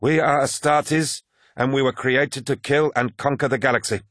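A man's voice: mid-range voice, steady tone, moderate pace, no room sound, studio quality, pitched above the performer's own voice, lightly acted. Man acting a commanding, declamatory warrior leader. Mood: rousing pride. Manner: declamatory, forceful speech-making. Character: commanding warrior lodge leader, proud orator to his troops. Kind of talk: dramatic audiobook character voice.

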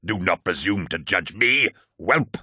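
A man voicing a towering, one-eyed giant warrior in an audiobook, angry yet noble, grave and commanding, with a husky edge. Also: fairly low pitch, expressive, normal speed, sharp tone, no room sound, studio quality, exaggerated voice. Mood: outraged.

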